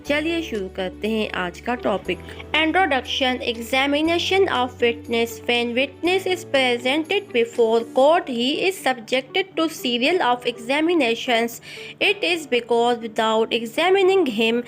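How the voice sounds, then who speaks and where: A woman giving an exam-focused law lecture. She reads English notes slowly and then explains each point in Hindi-Urdu. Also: high voice, expressive, faint music behind, rough recording.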